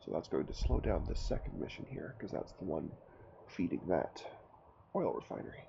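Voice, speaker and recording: A hoarse man fighting a cold talks through his gameplay in a relaxed, think-aloud way. His voice is gravelly and mellow, and his pitch moves around a lot. The recording sounds a bit dull, with a faint hiss behind him. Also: normal speed. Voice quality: raspy. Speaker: gameplay commentator. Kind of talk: casual let's-play commentary.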